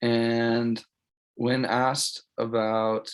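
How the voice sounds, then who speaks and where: A man's voice: mid-range voice, flat delivery, very slow. A man, giving an informal spoken presentation in a plain, matter-of-fact, casual way. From California, United States. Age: early 20s. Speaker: college student presenting an assignment.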